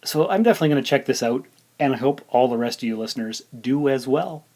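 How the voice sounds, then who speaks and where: A man's voice: medium pitch, animated, fast talker, a little mellow. Chatty male podcast host. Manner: rambling and conversational, with fillers. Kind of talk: casual solo podcast chat.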